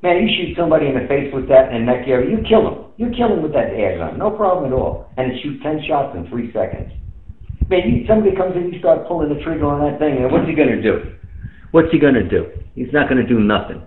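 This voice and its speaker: A man's voice: on the high side, big pitch swings, fast talker, slight background noise. An American man chatting casually over amateur radio in an emphatic, streetwise way, repeating phrases to drive them home. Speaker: amateur radio operator in a round-table chat. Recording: tinny, echoey radio transmission.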